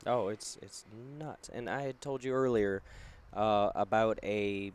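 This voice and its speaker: A man with a fairly low, smooth, clear voice hosts a casual podcast interview. He rambles loosely and fills his pauses with uh and um. He is recording at a trade show. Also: a little mellow. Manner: loose, rambling with many fillers. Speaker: podcast host.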